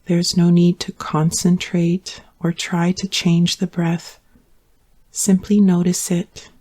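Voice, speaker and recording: Slow, hushed woman guiding meditation. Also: on the low side. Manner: calm, soothing, unhurried instruction with long pauses. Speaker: yoga nidra meditation guide. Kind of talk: guided relaxation meditation.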